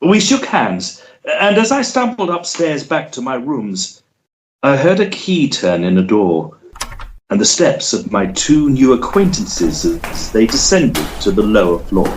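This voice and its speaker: A highly animated male voice with sweeping intonation, as a cautious, earnest Victorian student in an audio drama. His delivery is emphatic and dramatic, a little halting, like first-person storytelling. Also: poor audio. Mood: grave recounting.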